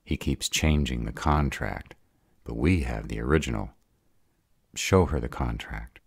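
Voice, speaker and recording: Very deep, gravelly, hot-tempered were-dragon man acting. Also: unhurried, dry recording, clean studio sound, heavily acted. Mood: impatient. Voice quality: gravelly, raspy. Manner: growling, impatient, blunt. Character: gruff, hot-tempered male were-dragon, fiercely protective of his mate. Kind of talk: audio roleplay drama acting.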